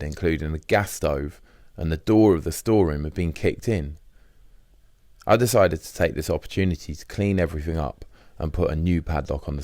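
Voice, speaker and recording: A man's voice: a bass voice, vocal fry, darkish tone, no echo, clean studio sound. A man reading a first-person diary as scripted audiobook narration.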